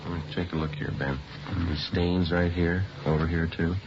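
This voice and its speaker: A man's voice: low-pitched, flat delivery, moderate pace, gravelly, background noise. An actor playing a terse, weary police detective who narrates his case in clipped, matter-of-fact 1950s radio drama style. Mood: businesslike. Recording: muffled vintage radio recording, music behind.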